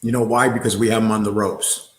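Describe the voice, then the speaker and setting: A man's voice: fairly low pitch, flat delivery, fairly quick, roomy sound. A man hosting a livestream talk show, blunt and rambling, throwing out rhetorical questions and reading viewer comments. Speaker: show host.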